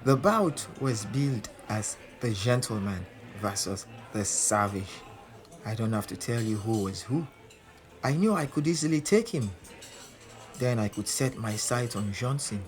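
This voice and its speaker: A man's voice: fairly low pitch, animated, unhurried, rough recording. An actor playing a proud, defiant male boxer who tells his own story in dramatic first person. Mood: proud, intense. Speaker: narrator in an audio drama. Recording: music behind the voice.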